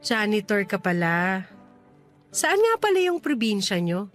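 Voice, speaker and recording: A landlady in her thirties, speaking at an ordinary female pitch over faint music. She is practical and teasing, friendly yet a little guarded. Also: sing-song, measured pace. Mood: teasing, frank. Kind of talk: radio drama acting in natural conversation.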